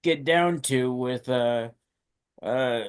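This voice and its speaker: A man interviewing a guest, asking questions haltingly and repeating words often. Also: medium pitch, clear, resonant tone. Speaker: student interviewer.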